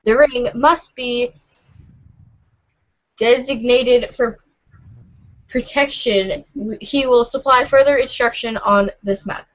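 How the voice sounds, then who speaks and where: A woman narrator with a fairly high voice reads aloud slowly. Her delivery is formal and slightly halting, like amateur readers' theater, and the recording is somewhat muffled. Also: fairly even intonation. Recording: muffled lo-fi audio.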